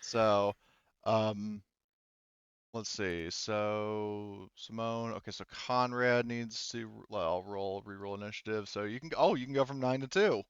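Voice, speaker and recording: Low, clear-voiced man as game master. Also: unhurried, dry recording, dull audio. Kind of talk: running an online tabletop roleplaying game, casual.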